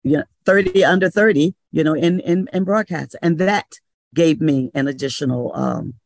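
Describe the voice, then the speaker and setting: Gravelly older woman radio owner. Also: low voice, dry recording, studio quality. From North Carolina. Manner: measured, advising, many uh pauses. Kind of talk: panel discussion. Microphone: video call.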